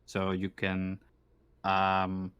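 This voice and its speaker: A man with a slightly low voice acts as game master for a tabletop roleplaying game. His delivery is informal and conversational, moving between explanation, scene narration and joking. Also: monotone, extremely slow, highs cut off, slight background noise.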